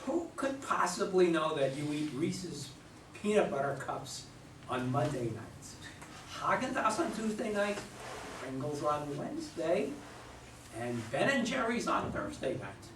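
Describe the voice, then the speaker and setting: A man's voice: on the high side, very animated, unhurried. A man playing a dismissive, sarcastic husband who belittles his wife in a staged play reading. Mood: mocking. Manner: mocking, belittling. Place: room at a live readers' theater reading. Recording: echoey room, distant mic, faint noise.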